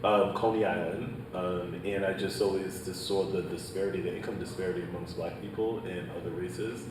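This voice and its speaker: A low-voiced man from Haiti answers a panel question earnestly, leaning on data and checking in with "right?". He sounds distant from the mic in a strongly reverberant room. Steady background noise hums beneath him. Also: brisk. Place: panel event in a hall.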